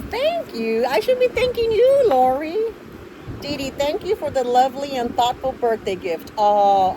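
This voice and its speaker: A woman with an excitable, gushing way of talking, chatting casually as she hosts an unboxing vlog. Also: noisy background. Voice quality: high voice when excited. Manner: gushing, effusive, easily excited. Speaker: vlogger, host of an unboxing channel.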